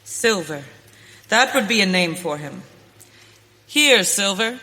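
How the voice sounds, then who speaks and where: A woman's voice: medium pitch, animated, slow pace. A woman playing a brave, determined masked western hero in radio theater, speaking in an earnest, commanding way. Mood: awed, gentle. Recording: hall reverb, background noise, clicks.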